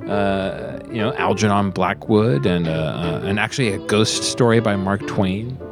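A man hosting a radio show in casual, unscripted chat, rambling and halting as he talks with enthusiasm. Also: fairly low pitch, over music.

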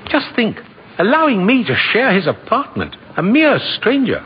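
Jolly old gentleman, very animated voice. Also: high voice, normal speed. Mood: genial. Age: older. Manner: jolly, gentle, chuckling, coaxing. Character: kindly old man who says he is Santa Claus. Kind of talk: 1940s radio acting. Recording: dull, noisy old radio recording.

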